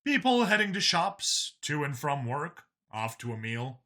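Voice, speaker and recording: A man reading a scripted story as an expressive, dramatic audiobook narrator. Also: a little high, a little slow.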